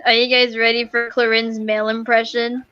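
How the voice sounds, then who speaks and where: A woman's voice: on the high side, level tone, normal speed, bright, forceful tone, smooth, dull audio. A young woman chatting casually over gameplay as a streamer, informal and easily amused. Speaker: streamer reacting to a game.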